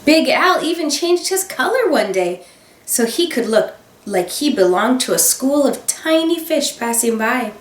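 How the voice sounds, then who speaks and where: A woman teacher reading a children's picture book aloud, expressive, with gasps and dramatic emphasis. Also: high-pitched, unhurried, a little hiss or hum. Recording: roomy sound, voice a bit far from the mic.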